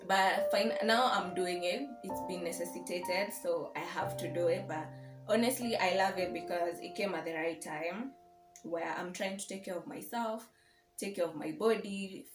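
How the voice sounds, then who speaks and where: A young adult woman with a Kenyan accent chatting casually and informally in a personal vlog. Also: lowish voice. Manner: informal, code-switches into Swahili. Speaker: YouTube vlogger. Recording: roomy echo, music behind.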